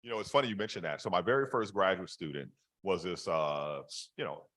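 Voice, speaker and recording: A man with a deep voice telling stories animatedly in a casual conversation over a video call, with many fillers. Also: fairly quick, a bit bright.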